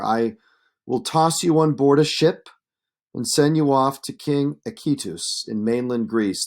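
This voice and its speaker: A man's voice: mid-range voice, a little mellow. A man voicing an arrogant young suitor in an epic read aloud, taunting and domineering. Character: Antinous, arrogant young suitor, mocking and threatening.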